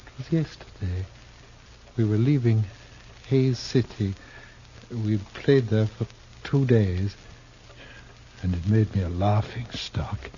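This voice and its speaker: An actor playing a bitter, tormented Shakespearean player turned killer in a 1950s radio drama, declaiming floridly with halting repetitions. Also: male voice, lowish voice, warm tone. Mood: haunted, confessing. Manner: theatrical, declamatory, halting with repeated words. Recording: muffled, noisy 1950s radio recording.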